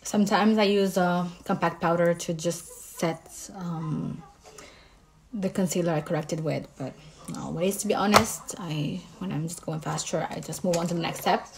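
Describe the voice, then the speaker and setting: A woman's voice: lowish voice, fairly even intonation, moderate pace, a little hiss or hum. A woman with a Moroccan accent, a makeup artist casually explaining each step of her makeup routine.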